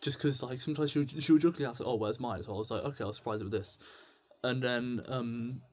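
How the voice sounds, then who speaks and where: A young British man talking casually to camera in a confessional vlog, rambling, halting and self-deprecating. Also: mid-range voice, a little mellow. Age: young adult. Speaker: video blogger. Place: indoors at home with music on in the background.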